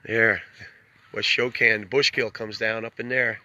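Bright-voiced man chatting on a lakeside livestream. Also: fairly low pitch, level tone, unhurried, no echo. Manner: greets viewers by name, rambling reflective talk. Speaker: livestream host. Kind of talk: casual livestream chat. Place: outdoors by a lake. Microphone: phone held while filming.

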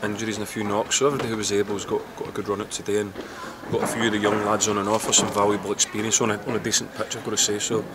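Middle-aged football manager, halting. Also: male voice, on the low side, little pitch movement. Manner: halting, repeats words while thinking. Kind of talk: post-match interview. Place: outdoors at a football ground. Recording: loud outdoor background noise.

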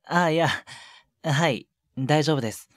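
A man playing a shy junior boyfriend in an intimate ASMR voice drama, speaking softly and hesitantly with breathy murmurs and casual politeness. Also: a little high, unhurried, dry recording, clean studio sound, lightly acted. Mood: gentle, reluctant. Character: shy younger junior boyfriend, awkward at showing affection. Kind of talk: intimate ASMR situation voice drama, one side of a phone call. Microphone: close, dry microphone.

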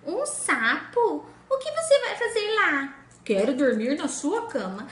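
A woman's voice: sits high, sing-song, a little slow, a bit bright, pitched above the performer's own voice, exaggerated voice. A woman voicing a young, spoiled princess who squeals and fusses in disgust. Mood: squeamish. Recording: echoey room.

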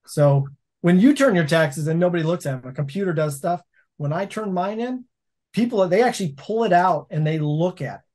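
A man in his forties, a laundromat owner telling stories in a casual interview over a video call from a home office. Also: a little high. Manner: storytelling, animated hand gestures.

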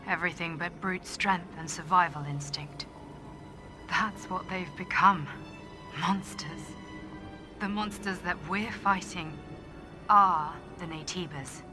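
Game role: solemn female soldier recording a log, played by a woman, lowish voice, grave and deliberate, over clear music. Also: lightly acted. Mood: grim, bitter. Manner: deliberate, grave, clipped phrases. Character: grim, solemn female soldier logging discoveries.

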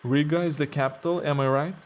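A man giving a deadpan, sarcastic voice-over for a comedy video. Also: on the high side, fast talker, clean tone. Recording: video voice-over with music behind.

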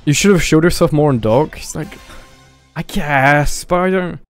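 A man's voice: on the high side, moderate pace. A man hosts a casual gaming livestream, hesitant and mumbly, with plenty of swearing. Game music plays faintly behind him. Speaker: livestream host. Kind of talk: casual gaming stream chat.

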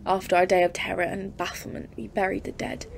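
A woman playing a grave, resolute professor in an audio drama, narrating in formal, measured, literary speech. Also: lowish voice, flat delivery, gravelly, slight background noise. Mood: grave, haunted. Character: grave female professor leading an Antarctic expedition, haunted and resolute. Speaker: narrator and lead in an audio play. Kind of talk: dramatic scripted narration and dialogue.

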